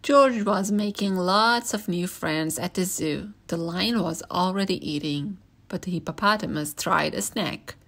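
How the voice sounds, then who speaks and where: A woman's voice: lowish voice, a little slow, hardly any pauses, a bit bright, slight background noise. A woman reading a children's picture book aloud as a storyteller.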